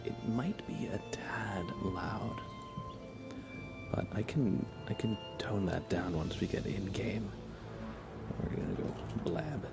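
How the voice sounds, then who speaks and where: A man chatting casually on an ASMR game livestream in a soft, breathy voice that often slips toward a whisper, hesitant and rambling. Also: fairly low pitch, expressive, slow pace. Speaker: livestream host. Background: music.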